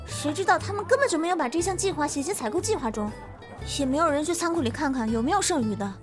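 Touchy young assistant, a woman acting: high-pitched, defensive, loyal, blunt delivery for audio drama. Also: expressive, quick pace, over music. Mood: agitated, defensive.

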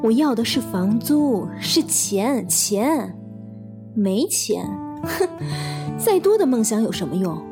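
A woman's voice: fairly high pitch, sing-song, slow, exaggerated voice. A woman playing a money-minded, scornful landlady in a radio drama, nagging and sarcastic over music. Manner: scolding, sarcastic. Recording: guitar music behind the voice.

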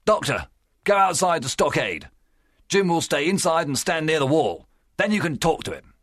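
Man acting a swooping, husky pirate leader. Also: a little high, big pitch swings, moderate pace, a bit bright, no room sound, clean studio sound, pitched above the performer's own voice. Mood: commanding. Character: bold, wily male pirate leader, loud and wheedling. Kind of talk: audiobook character acting.

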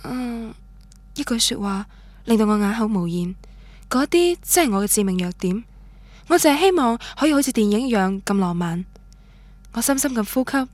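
A woman's voice: a little high, darkish tone, no room sound. An actress with a Cantonese accent playing a romantic, stubborn young woman who tells her love story in a radio drama. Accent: Cantonese, Guangdong. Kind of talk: radio drama narration and dialogue, introspective first-person.

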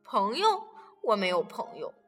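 Woman putting on a gentle sea creature, kindly, high bright voice, lively, reverb, light music. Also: a little slow, heavily acted. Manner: soft, kindly, gently questioning. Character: gentle lonely female sea reptile, kind. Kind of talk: children's audio story acting. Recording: reverberant room with music underneath.

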